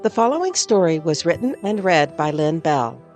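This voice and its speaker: A woman with a lowish voice gives a friendly, scripted podcast introduction. Soft music plays quietly underneath her. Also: very animated, moderate pace.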